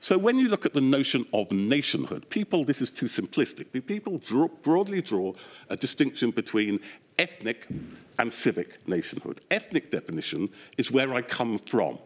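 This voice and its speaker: A man giving expert testimony at a public inquiry, explaining at length in an animated, discursive way with wry asides. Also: medium pitch, normal speed. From England. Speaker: expert witness, academic psychologist. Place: public inquiry hearing room.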